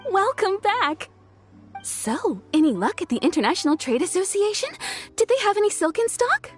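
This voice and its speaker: Very high, lilting shopkeeper. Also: female voice, very animated, moderate pace, faint music behind. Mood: welcoming, brisk. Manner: courteous, professional. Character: polite, businesslike female tailor shopkeeper, eager to help. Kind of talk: anime game voice acting.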